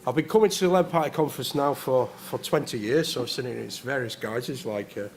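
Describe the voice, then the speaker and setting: A man's voice: mid-range voice, fast talker. Earnest man delivering a panel speech. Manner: earnest, with hesitant restarts. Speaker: politician giving a speech. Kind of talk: prepared speech.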